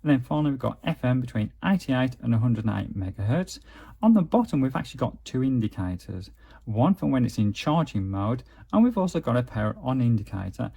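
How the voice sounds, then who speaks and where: A man's voice: mid-range voice, sing-song, brisk, gravelly, warm tone, breathy edge. A man giving a casual, methodical product walkthrough as a gadget reviewer. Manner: methodical, explanatory.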